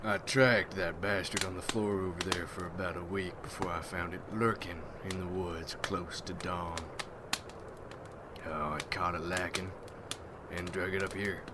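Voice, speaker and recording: A man playing a gruff, hardened vampire hunter in an ASMR roleplay, speaking in folksy rustic slang as he mentors a newcomer. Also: low-pitched, steady tone, measured pace, heavy background noise. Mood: grim, matter-of-fact.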